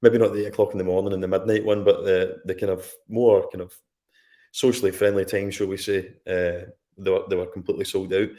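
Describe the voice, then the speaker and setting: A low-voiced Scottish man who is a guest on a film podcast. He tells his story conversationally and drifts into side remarks along the way. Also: little pitch movement, a little fast, dark tone. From Scotland. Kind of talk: casual chat on a live stream.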